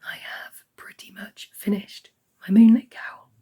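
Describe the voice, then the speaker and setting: A woman giving a casual crafting update, hoarse and half-whispering as her voice fails. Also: mid-range voice, flat delivery, a little slow, mellow. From United Kingdom. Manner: half-whispered, croaky delivery. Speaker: crochet vlogger.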